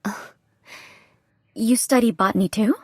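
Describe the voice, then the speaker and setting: A woman's voice: fairly high pitch, unhurried, darkish tone, no room sound, clean studio sound. A woman playing a polite, observant female reporter in video game cutscene dialogue. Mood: polite, inquisitive. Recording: music behind the voice.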